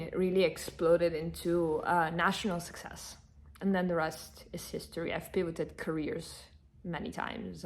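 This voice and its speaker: A woman in her thirties from Northern Italy, a native Italian speaker, chatting to camera in an animated, emphatic way, answering followers' questions. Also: lowish voice. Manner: animated, emphatic, repeats herself. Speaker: content creator. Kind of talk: casual Q&A chat to camera.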